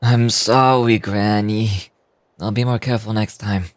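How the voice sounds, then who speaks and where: Woman voicing a low-pitched, meek young man. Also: fairly even intonation, unhurried, dry recording. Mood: apologetic. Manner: earnest, deferential. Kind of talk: game dialogue voice acting.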